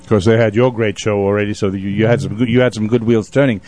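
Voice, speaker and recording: A man's voice: fairly low pitch, level tone, fast, darkish tone. A man hosting a casual radio interview, chatting in a wordy, effusive storyteller's way. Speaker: radio show host. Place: radio studio.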